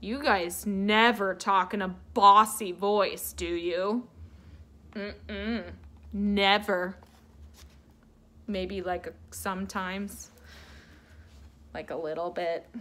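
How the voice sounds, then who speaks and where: A woman's voice: mid-range voice, takes it slowly, long pauses, pushed and bright, slight background noise. A woman reading a children's story aloud like a teacher, theatrical and playful, coaxing her listeners to join in.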